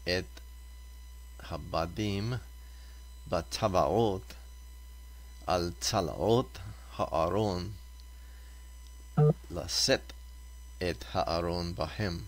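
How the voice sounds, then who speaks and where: A man reading Torah scripture aloud as a reader, deliberately, in short separated phrases. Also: deep voice, rough recording.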